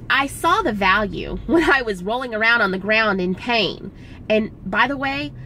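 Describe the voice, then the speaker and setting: A Texan woman in her forties, slightly raspy from a cold, chatting folksily to camera while driving her car. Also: medium pitch, poor audio. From Texas. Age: 40s. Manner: chatty, folksy, laughs easily. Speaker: gardening vlogger. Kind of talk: casual chat to camera. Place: inside a moving car. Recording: loud car road noise behind voice.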